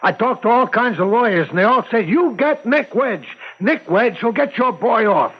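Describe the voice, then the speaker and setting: An actor playing a middle-aged working-class father who pleads anxiously and haltingly for his son in an old-time radio drama. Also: very high-pitched, lively intonation, breathy edge, exaggerated voice. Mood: insistent. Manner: pleading, agitated, halting repetitions. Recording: dull lo-fi old radio recording.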